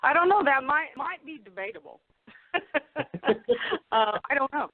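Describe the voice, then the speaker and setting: A woman's voice: high voice, expressive, moderate pace, narrow, tinny audio. A woman co-hosting a casual interview, chatting and asking questions in a conversational way. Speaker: co-host interviewer.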